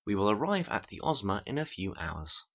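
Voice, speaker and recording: A woman's voice: extremely low pitch, sing-song, normal speed, muffled recording, heavily acted. A woman voicing a polite, rigid automated announcer aboard an alien space pod in an audio drama. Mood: polite welcome. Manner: scripted, repetitive, formally polite.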